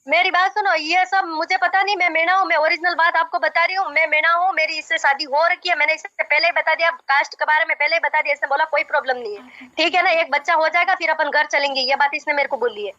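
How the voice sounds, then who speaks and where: A woman's voice: high voice, steady tone, fast, pushed and bright, no echo. A young woman arguing insistently and repeating herself on a recorded phone call. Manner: insistent, repetitive, argumentative. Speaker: caller in a marriage dispute. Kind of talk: heated phone conversation.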